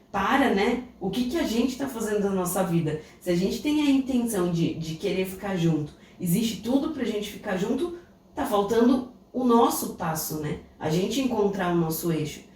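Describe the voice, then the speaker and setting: A woman's voice: a little high, a little mellow. A Brazilian woman co-hosting a podcast, telling a personal story in a reflective, casual way. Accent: Brazilian Portuguese. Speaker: podcast co-host. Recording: echoey room, distant mic, faint noise.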